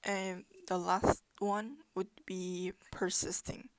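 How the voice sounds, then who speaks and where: A woman's voice: mid-range voice, level tone, takes it slowly, no echo. A young woman whose first language is Korean, presenting as a student in a thoughtful way, with frequent fillers and self-corrections. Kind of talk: unscripted presentation.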